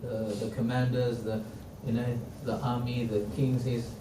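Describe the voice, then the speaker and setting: A man who is not a native English speaker teaching a religious history class in a mosque, halting with many restarts and quoting Arabic. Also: mid-range voice, level tone. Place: mosque hall. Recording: roomy, distant mic, faint noise.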